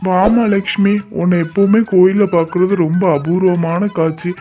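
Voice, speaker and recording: A man acts as a mysterious, kindly temple sage in a cartoon. His voice sits rather high and he gives guidance in an avuncular way. Faint music plays behind him. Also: steady tone, normal speed, natural voice. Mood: reassuring.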